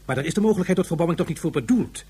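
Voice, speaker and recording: An actor playing an earnest, learned scientist in a radio drama, formal and wordy. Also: male voice, on the high side, expressive, racing, dark tone, slightly rough. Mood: protesting.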